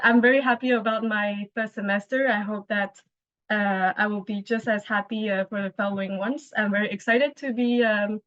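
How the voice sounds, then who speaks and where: A woman's voice: fairly high pitch, fairly bright tone, no echo. A young woman, a master's student, describing her studies in a hesitant casual way with many fillers. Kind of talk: casual webinar talk. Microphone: laptop mic on video call. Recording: dull lo-fi call audio.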